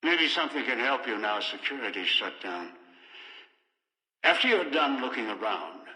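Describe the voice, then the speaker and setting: A man's voice: lowish voice, bright, forceful tone, heavily acted. A bitter, obsessive old male schemer from a video game. His voice is hard and piercing, coming through speakers with long hall reverb. He issues terse, menacing orders as if used to being obeyed. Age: older. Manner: terse, imperious, ominous, clipped orders. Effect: voice over radio and speakers.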